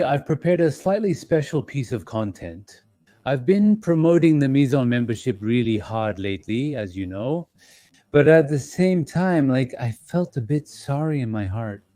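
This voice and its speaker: A man hosting an investing channel, talking in a gentle, reassuring and slightly apologetic way to his members, with small fillers as he thinks aloud. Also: mid-range voice, expressive, normal speed, no room sound, studio quality. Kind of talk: casual live-stream talk to members.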